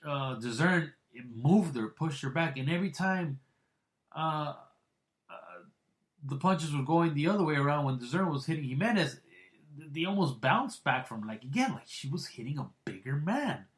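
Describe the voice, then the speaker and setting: A man hosting a boxing podcast, rambling with many restarts and crude, blunt remarks, swinging between loud excited bursts and low mutters. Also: moderate pace. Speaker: podcast host. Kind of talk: casual boxing podcast commentary.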